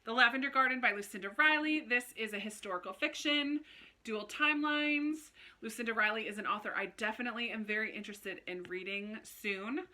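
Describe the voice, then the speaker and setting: Bright-voiced woman, casual book vlogger. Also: on the high side, fairly quick. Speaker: book vlogger, channel host. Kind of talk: casual chatty video talk to viewers.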